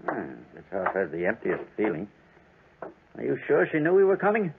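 A country doctor in an old radio play. He speaks with lively swings of pitch and muttered asides, through a noisy, phone-like vintage recording. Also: normal speed, gravelly. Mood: uneasy. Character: country doctor, gruff yet chatty, grimly observant. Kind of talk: 1940s radio drama acting.

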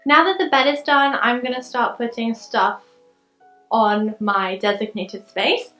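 A woman's voice: a little high, steady tone, measured pace, fairly bright tone, soft background music, rough recording. Chatty young woman vlogger. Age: young adult. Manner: chatty, upbeat, instructive. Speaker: lifestyle vlogger. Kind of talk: cleaning tutorial voice-over and to-camera vlog. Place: bright bedroom, indoors.

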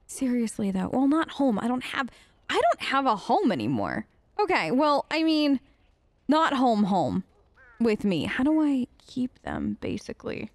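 A high-voiced woman chats her way through a game in character. She is lively, earnest and informal, sounding close and dry on the mic. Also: a little slow, no room sound. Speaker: let's play host role-playing as an elf character. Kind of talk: casual improvised role-play game commentary.

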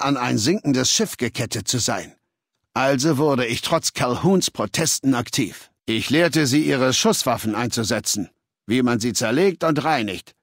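A learned, ruthless male dictator in a video game. He lectures with articulate condescension at a middling pitch. The recording is dry, with no sense of a room. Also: no echo. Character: cold, learned warlord dictator of a slaver legion.

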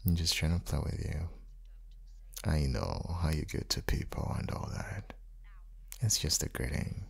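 An affectionate young father and husband acted by a man for an intimate ASMR roleplay. His voice sits extremely low, with a heavy husky breathiness. He speaks slowly and softly, teasing gently and often slipping into a whisper. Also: exaggerated voice. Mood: gentle teasing. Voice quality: very deep, husky, gravelly, breathy. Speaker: audio roleplay performer.

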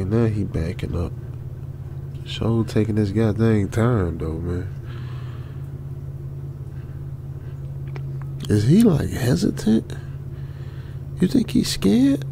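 A man playing a jittery stranded young trucker in a radio drama, muttering to himself in halting, slangy speech. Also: lowish voice, gravelly, background noise, full character voice. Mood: puzzled, impatient. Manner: halting, muttering, colloquial slangy self-talk. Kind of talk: ASMR old-school radio drama monologue.

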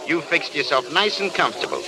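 An actor playing a tough, bitter, sarcastic Hollywood press agent in the style of 1930s radio drama. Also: male voice, a little high, animated, fairly quick, close to an everyday voice, low-quality recording. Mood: contemptuous. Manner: sarcastic, wisecracking, contemptuous. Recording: old radio broadcast, crowd chatter behind.

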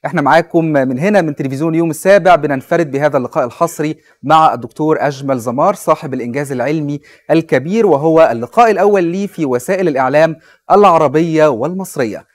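A man's voice: on the high side, racing, no room sound. A man in his thirties with an Egyptian accent, presenting from a newsroom studio and interviewing a guest. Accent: Egyptian Arabic. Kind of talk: scripted news introduction.

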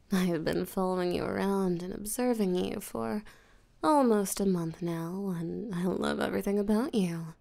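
Slow, low-voiced seductive vampire woman, acted. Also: dark tone. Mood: adoring, obsessed. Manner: teasing, breathy, often drops to a whisper. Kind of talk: roleplay audio drama, intimate acting.

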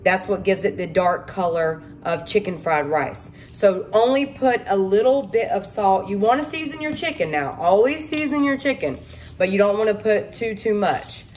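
Woman, folksy cook-along chat, medium pitch, home kitchen, camera mic, faint background hum. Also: expressive, moderate pace, a little mellow. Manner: chatty, folksy, addresses viewers as y'all. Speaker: home cooking vlogger.

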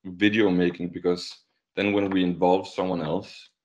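Very deep-voiced young Danish man. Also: little pitch movement, normal speed, a little mellow. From Denmark. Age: young adult. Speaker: musician being interviewed. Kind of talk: casual interview chat. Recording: dull, lo-fi video call.

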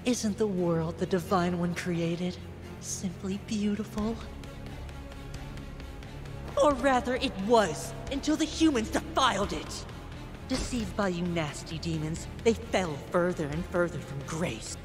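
A woman's voice: lowish voice, a little slow, close to an everyday voice. A woman voicing a self-righteous, easily enraged childlike angel villain in a video game, pompous and declamatory. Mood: grandiose. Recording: long reverb under music.

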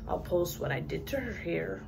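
A young woman with a raspy voice, just up from sleep, chatting casually through a hair product review in a small bathroom. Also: fairly low pitch, lively intonation, normal speed, background noise. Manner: chatty, rambling with fillers. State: just woke up. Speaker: beauty vlogger. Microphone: phone camera at arm's length.

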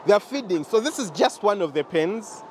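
A young Ugandan man films himself close to a handheld camera as he walks his chicken farm, his voice sitting a little high for a man. He explains things patiently and talks straight to his viewers. Faint outdoor ambience hums under him. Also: animated, normal speed, slight background noise. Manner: explanatory, repeats points, addresses viewers directly. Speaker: farm owner giving a tour. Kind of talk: walking farm tour vlog. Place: outdoors at a poultry farm and inside a chicken house. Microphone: handheld camera close to the face.

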